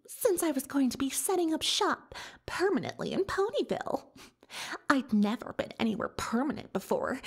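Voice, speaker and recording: A woman voicing a boastful, dramatic young female unicorn magician in soft-spoken, often whispered roleplay ASMR, theatrical and self-important. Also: sits high, heavily acted. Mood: boastful, delighted. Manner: theatrical, self-important, speaks of herself in third person.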